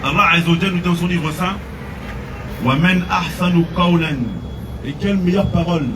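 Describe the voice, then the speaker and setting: A man's voice: high-pitched, fairly even intonation, fairly quick, recorded from a distance, noisy background. A man from France giving an earnest outdoor sermon, mixing in Arabic phrases. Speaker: preacher. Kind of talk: street preaching to a crowd.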